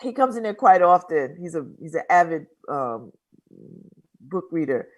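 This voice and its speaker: A woman hosting a casual interview show, chatty and quick to laugh as she asks questions. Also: low voice, sing-song, poor audio. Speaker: talk show host.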